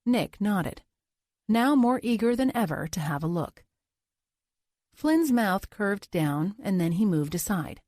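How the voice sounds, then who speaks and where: Woman reading a suspense audiobook, medium pitch, really dry close recording. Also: very animated, takes it slowly, a little mellow, no echo. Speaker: audiobook narrator. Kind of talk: scripted thriller audiobook narration.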